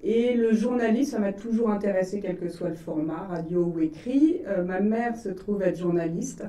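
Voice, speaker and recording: A relaxed woman with a Parisian French accent speaks in a mellow, dark voice. She sits somewhat back from the microphone, so the sound is roomy, with echo around it. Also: medium pitch, normal speed, recorded from a distance. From France. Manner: relaxed, laughs easily. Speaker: guest. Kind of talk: radio interview.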